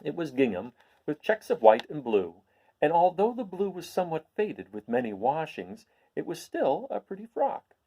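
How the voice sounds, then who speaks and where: A man reading a storybook aloud as a host, in an expressive, explanatory manner. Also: fairly high pitch, normal speed, breathy edge, poor audio. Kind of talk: expressive storybook read-aloud with informal introduction.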